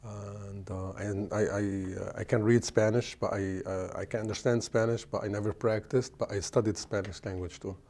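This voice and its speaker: A middle-aged man, an executive chef answering interview questions in a halting way, often restarting as he searches for words. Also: on the low side, fairly even intonation, a little mellow. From Lebanon.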